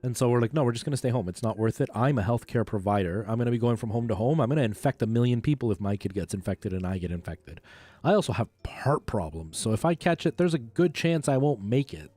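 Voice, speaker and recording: A man's voice: mid-range voice, lively intonation, fast talker, warm tone, no echo. A man, chatting casually as he streams a retro video game. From Canada. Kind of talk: casual chat while playing a retro game on a livestream. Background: faint game music.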